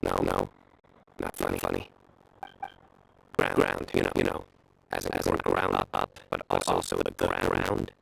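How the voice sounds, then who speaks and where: A man voicing a solemn young adventurer in an anime-style game, deadpan, deliberate and pedantic, earnest even about bad jokes. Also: very deep voice, creaky, slight background noise, exaggerated voice, low-quality recording. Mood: deadpan joking. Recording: faint music behind.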